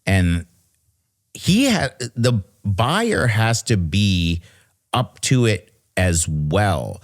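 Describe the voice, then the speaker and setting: A man's voice: lowish voice, very animated, very slow. A man co-hosting a podcast in casual chat, rambling and restarting his sentences. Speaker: podcast co-host.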